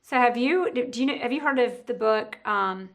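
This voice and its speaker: A woman hosting a casual podcast interview, talkative and thinking out loud, often correcting herself as she goes. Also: on the high side, moderate pace.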